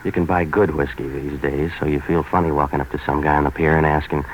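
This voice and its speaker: An actor playing a tough, cynical waterfront fixer, deadpan and terse, in 1940s hardboiled radio drama. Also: male voice, rumbling low, fast. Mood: wry, world-weary. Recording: noisy vintage 1940s radio broadcast.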